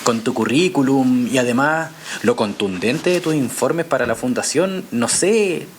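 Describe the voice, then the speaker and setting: An actor playing a friendly, awkward journalist in an audio drama, rambling and apologetic. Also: male voice, mid-range voice, lively intonation, measured pace, a little hiss or hum, close to an everyday voice. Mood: flustered, apologetic. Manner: flustered, apologetic, rambling with self-corrections. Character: friendly, awkward male journalist who writes crime novels. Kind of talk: naturalistic audio drama acting.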